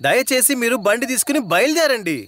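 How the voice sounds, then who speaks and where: A man voicing a relaxed, clever young villager in a dubbed cartoon, answering with easy cheek. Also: very high-pitched, fast talker, dry recording. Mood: easygoing. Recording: music bed under dialogue.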